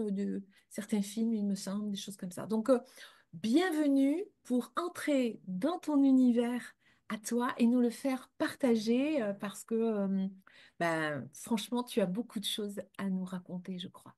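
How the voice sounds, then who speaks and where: A woman's voice: a little high, unhurried, dark tone. A woman hosting an interview in a friendly, chatty way, with many fillers. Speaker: host.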